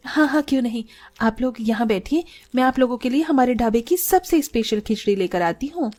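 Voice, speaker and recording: Woman as a high-voiced, humble young cook. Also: lively intonation, fairly quick, a little mellow. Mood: polite. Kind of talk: cartoon story acting.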